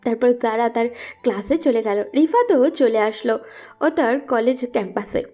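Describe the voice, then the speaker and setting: A woman's voice: a little high, moderate pace, mellow. A woman narrating a scripted romantic audio story.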